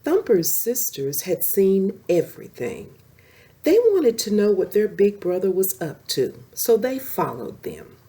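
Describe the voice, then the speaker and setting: Female children's storyteller, mellow tone, unhurried delivery, lively expressive read for bedtime audiences. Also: mid-range voice. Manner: animated, slow-paced reading addressed to young children.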